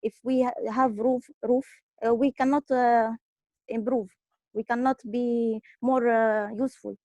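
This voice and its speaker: A woman from Syria, a refugee, speaking halting at an online press conference, a little nervous. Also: a little high, steady tone, dark tone, dry recording, lo-fi sound. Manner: halting non-native English, nervous. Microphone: video call.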